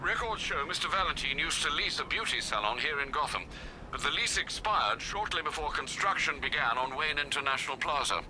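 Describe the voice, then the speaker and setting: An actor playing an older, dutiful butler, speaking formally and dryly over a comms link in a video game. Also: male voice, on the high side, lively intonation, brisk, pushed and bright, slight background noise, rough recording. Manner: formal, dry, precise. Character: older male English butler, dry and dutiful. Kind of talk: dramatic video game acting.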